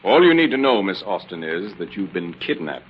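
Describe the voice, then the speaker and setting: An actor playing a scheming, commanding kidnapper boss with a suave manner, in 1940s radio style. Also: male voice, low voice, very animated, moderate pace. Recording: muffled, faintly noisy old radio recording.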